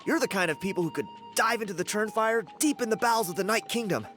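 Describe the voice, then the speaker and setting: A man voicing a friendly, eager, talkative young adventurer in a video game, with a colloquial, animated delivery. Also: sits high, quick pace, faint music behind. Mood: amazed, grateful.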